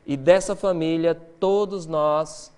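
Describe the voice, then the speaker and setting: A middle-aged man preaching a lecture-style sermon, animated, swinging between quiet explanation and loud excitement. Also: on the high side, slow pace, smooth.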